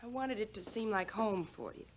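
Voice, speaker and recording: An actress playing a caring, probing ballplayer's wife in an old-time radio drama style. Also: female voice, medium pitch, lightly acted. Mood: tender, hurt. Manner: probing, affectionate, quick to question. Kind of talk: 1940s-50s radio drama acting. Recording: vintage mid-century radio recording.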